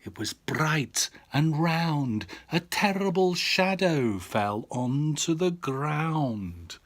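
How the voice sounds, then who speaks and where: A man's voice: on the high side, expressive, very slow. A man reading a children's storybook aloud as a father would, with dramatic, suspenseful, rhythmic delivery.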